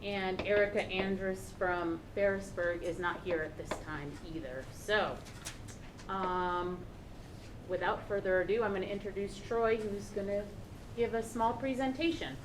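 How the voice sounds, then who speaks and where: A woman's voice: mid-range voice, unhurried, noisy background. A woman chairing a school committee public meeting, friendly and procedural, introducing members.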